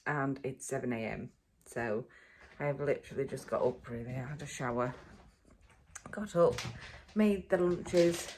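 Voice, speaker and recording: A woman vlogging from a cold sunroom at home first thing in the morning, chatting in a rambling way with plenty of ums, her voice still croaky from sleep. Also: rumbling low, unhurried, darkish tone, slight background noise. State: croaky, just woken up. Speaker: vlogger, mother. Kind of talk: casual morning vlog chat to camera.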